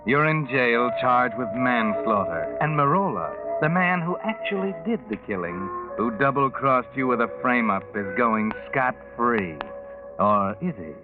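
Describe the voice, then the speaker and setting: Loud music swells behind a male actor as a taunting American mystery narrator. He speaks in an ominous, sardonic 1940s radio manner with a mid-range voice, on a band-limited old recording. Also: medium pitch, animated, narrow, tinny audio, natural voice. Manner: mocking, ominous, deliberate. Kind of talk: 1940s radio acting, dramatic second-person narration.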